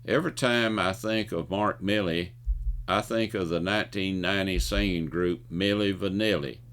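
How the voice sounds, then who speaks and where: An older man with a low-pitched voice presents scripted commentary for a video show. His delivery is folksy and opinionated, and he sometimes trips over words as he reads. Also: fairly even intonation, normal speed, fairly bright tone, clean tone. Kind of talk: scripted commentary with jokes and anecdotes.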